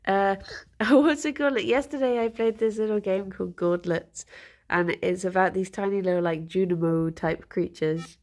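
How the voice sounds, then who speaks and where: A woman's voice: medium pitch, steady tone, normal speed. A woman with a blocked, congested nose, streaming from home, chatting casually and greeting her viewers by name. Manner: chatty, greets viewers by name, giggly, soft baby talk to pets. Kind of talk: casual morning stream chat.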